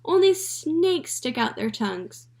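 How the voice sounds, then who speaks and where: A woman's voice: sing-song, unhurried, gravelly, a little mellow, exaggerated voice. A woman voicing a rude, bossy pet parrot that squawks and repeats its taunts. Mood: squawking taunts. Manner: shrill, repeats taunts over and over. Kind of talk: storybook read-aloud.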